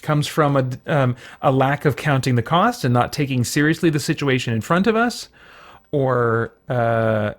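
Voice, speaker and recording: A man hosting an informal Bible study for an online audience, talking in a casual, halting way as he thinks aloud with frequent asides. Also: mid-range voice. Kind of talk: informal sermon, conversational Bible teaching.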